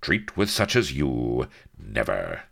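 A man voicing a proud gentleman hero in a storybook, speaking scornful, clipped, archaic formal lines. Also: a bass voice, huge pitch swings, slow, vocal fry, slightly rough, full character voice. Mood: proudly scornful. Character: haughty, steely young gentleman hero, the king's man.

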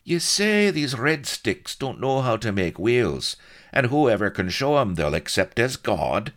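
A roguish colonel played by a man for an adventure story, scornful and suspicious. The voice is pushed up and lively in pitch as he questions bluntly and sardonically. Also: unhurried.

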